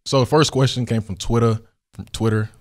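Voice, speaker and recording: A young man with a hoarse, worn voice, hosting a solo question-and-answer podcast in a studio, talking in a relaxed, rambling way with many fillers. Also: mid-range voice, fairly quick. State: hoarse, recovering voice. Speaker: podcast host.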